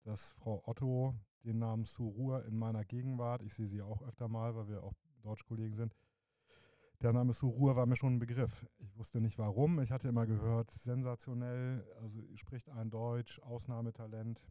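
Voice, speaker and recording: Male host, low dark voice, dry close sound, talkative questioner. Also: level tone, moderate pace, dry recording. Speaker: interviewer, teacher. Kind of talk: casual interview questions.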